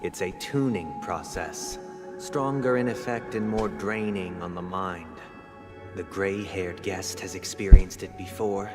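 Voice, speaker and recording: An actor playing a composed, eloquent young man in a game cutscene, speaking in a measured, formal, softly persuasive way. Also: low voice. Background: loud game soundtrack music.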